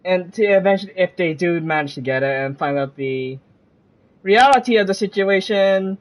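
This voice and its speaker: A man's voice: high voice, animated, moderate pace, poor audio. A man giving casual live commentary as he streams a video game. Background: game music.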